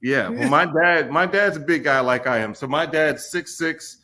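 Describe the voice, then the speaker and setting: A man's voice: a little high, bright, forceful tone, a little hiss or hum. Laid-back man telling a story. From Kentucky. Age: 40s. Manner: easygoing, laughs often, pauses with fillers. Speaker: podcast guest. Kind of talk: casual storytelling conversation.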